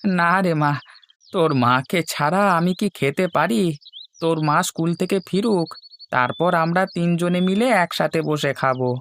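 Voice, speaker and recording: A woman's voice: lowish voice, unhurried, slight background noise. A woman voicing an affectionate, hearty village father and farmer in a cartoon. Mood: tender. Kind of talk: Bengali cartoon dubbing.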